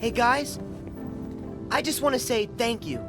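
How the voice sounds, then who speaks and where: A man's voice: extremely high pitch, a little slow, music in the background. A man voicing an earnest, determined and loyal teenage boy hero in a video game. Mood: grateful, earnest. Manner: earnest, emphatic. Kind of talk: animated video game voice acting.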